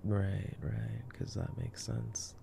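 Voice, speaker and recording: A young man doing an ASMR boyfriend roleplay as casual movie commentary, talking in a sarcastic, slangy, low-key way. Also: flat delivery, takes it slowly, gravelly, background noise.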